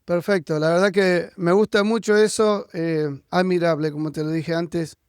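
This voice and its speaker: High-voiced man hosting a podcast. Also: fairly even intonation, normal speed, clean tone, no echo, studio quality. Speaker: podcast host interviewing a guest. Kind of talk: casual conversational interview.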